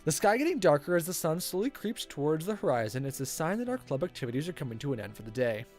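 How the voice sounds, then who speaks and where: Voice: mid-range voice, sing-song, fast, darkish tone, soft background music. A game streamer reading a visual novel aloud in a playful, theatrical way, stumbling over the text and reacting casually.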